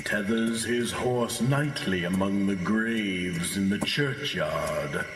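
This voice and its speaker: An actor playing an ominous teller of ghost tales in an audio drama, with a grave, drawn-out delivery. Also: male voice, fairly low pitch, background noise. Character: ominous teller of a headless horseman ghost tale. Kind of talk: theatrical ghost-story acting. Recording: echoey, long cavernous reverb.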